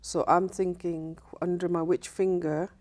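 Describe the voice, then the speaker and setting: This is a woman from Punjab in Pakistan, and Punjabi is her first language. Her voice sits low and sounds warm and mellow. She talks as a podcast guest, carefully and haltingly, with plenty of fillers. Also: level tone. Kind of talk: casual conversation.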